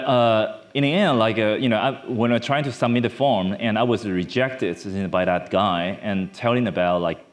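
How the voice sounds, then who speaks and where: Halting man on stage. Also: fairly low pitch. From Taiwan. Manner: halting, many fillers and restarts. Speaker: startup founder speaker. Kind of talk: conference talk. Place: large dark stage. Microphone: lapel mic.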